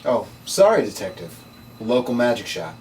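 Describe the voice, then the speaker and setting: A man's voice: lowish voice, very animated, normal speed, darkish tone. A man playing a dry, world-weary occult investigator in a noir script table read. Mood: dry, knowing. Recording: distant mic, noisy room.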